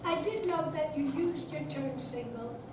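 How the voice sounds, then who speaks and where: Woman reading as a high-voiced stern policewoman, echoey. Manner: formal, firm, deliberate. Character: stern, by-the-book female police officer. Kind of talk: staged reading of a play. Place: senior center hall, live performance. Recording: echoey room, distant mic, loud noise.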